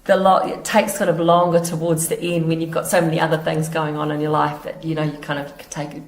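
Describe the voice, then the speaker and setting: A middle-aged woman with a New Zealand accent, slightly hoarse, chatting casually in an interview about her quilting, halting and laughing easily. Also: deep voice, level tone. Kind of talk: casual chat. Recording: echoey room.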